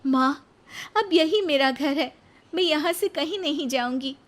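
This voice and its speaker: Woman voicing a high-pitched pleading young widow. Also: measured pace. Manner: pleading, earnest. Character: tearful, devoted young widowed daughter-in-law. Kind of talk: animated moral-story acting.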